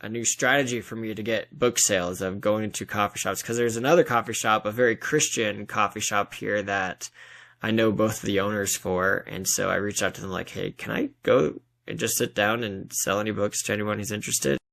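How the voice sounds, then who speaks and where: A man's voice: fairly low pitch, fairly even intonation, fairly quick, vocal fry, a bit bright. A man from Colorado with an American accent, talking in a chatty, anecdotal way as a guest in a casual interview. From Denver area, Colorado, US. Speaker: interview guest, fiction author.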